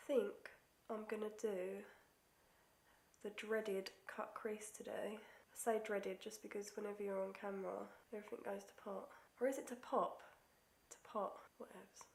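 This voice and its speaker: A young woman with a stuffy nose from a cold, chatting casually through a makeup tutorial and sometimes dropping to near-whispers as she concentrates. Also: on the high side. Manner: chatty, self-deprecating, drops to near-whispers while concentrating. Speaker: beauty vlogger. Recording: echoey room.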